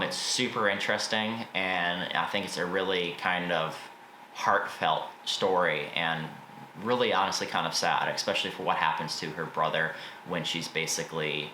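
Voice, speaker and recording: A man with an American accent talks through books in a casual, opinionated vlog style. His voice sits fairly low but has a bright, forceful edge. The room adds clear echo around his words. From United States. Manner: informal, opinionated, mild swearing. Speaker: book reviewer and video host. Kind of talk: casual spoken book review to camera. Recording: roomy echo, faint background noise.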